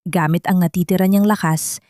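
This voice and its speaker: A woman's voice: on the low side, steady tone, a little fast, darkish tone, no room sound. Woman narrating drama, close dry sound. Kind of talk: dramatic scripted audio story narration.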